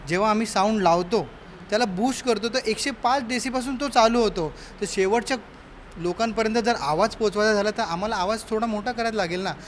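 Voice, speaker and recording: High-pitched man over faint traffic noise. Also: brisk. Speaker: sound operator being interviewed. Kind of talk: explanatory interview answer.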